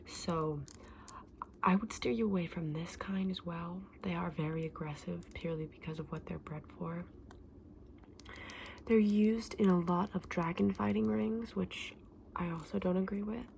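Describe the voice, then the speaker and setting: A woman acts out a friendly fantasy shopkeeper in an ASMR roleplay. Her voice is a soft, breathy whisper on the low side, with plenty of vocal fry. She explains things candidly with small hesitations, over faint background noise. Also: moderate pace. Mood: gentle, advising. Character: friendly, candid female dragon egg shop keeper.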